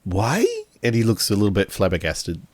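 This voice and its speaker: Male game master, low register, narrating tabletop roleplay with a slightly hesitant delivery. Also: fairly even intonation, unhurried, no room sound.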